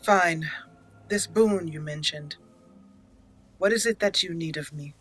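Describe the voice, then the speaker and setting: Bright-voiced woman reading game dialogue in character. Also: low voice, slow pace. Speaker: gaming channel host, role-playing her character.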